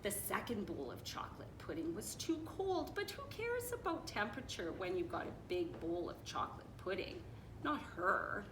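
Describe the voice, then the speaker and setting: A woman reading a children's picture book aloud expressively, with dramatic pauses and playful asides. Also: mid-range voice, very animated. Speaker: storybook reader, teacher. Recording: roomy echo, clear background noise.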